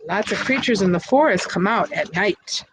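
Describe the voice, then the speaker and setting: A woman reading a picture book aloud expressively, pausing to chat to young listeners and ask them questions. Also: medium pitch, expressive, background noise. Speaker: storybook reader.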